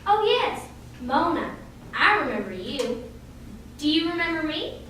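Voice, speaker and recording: A woman's voice: high voice, sing-song, measured pace, sharp tone, exaggerated voice. A woman playing a haughty, dismissive former mean girl in a radio drama. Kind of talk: stage radio drama acting. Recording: very echoey hall, distant mic, clear noise.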